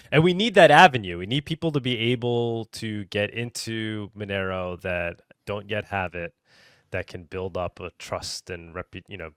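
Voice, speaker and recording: A man hosting a podcast interview in a casual style, halting and rephrasing his thoughts as he goes. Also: low-pitched, fairly bright tone, clear, resonant tone, dry recording, clean studio sound. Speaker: podcast host.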